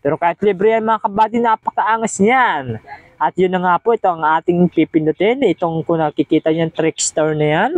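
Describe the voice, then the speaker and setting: A man's voice: high-pitched, moderate pace, no room sound, highs cut off. A man hosts a casual step-by-step tutorial, chatty and encouraging, often repeating himself. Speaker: host of video editing tutorial.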